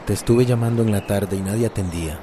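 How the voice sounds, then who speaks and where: An actor with a Costa Rican accent playing a flirtatious, coaxing suitor in a radio drama. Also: male voice, lowish voice, quick pace, background noise, close to an everyday voice. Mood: teasing, coaxing.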